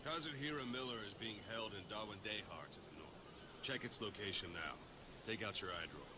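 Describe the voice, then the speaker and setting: A man playing a seasoned military intelligence operative in a video game, briefing a soldier in a measured, commanding, dramatic way. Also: on the low side, background noise, natural voice. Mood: calm, instructive. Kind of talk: video game cutscene acting.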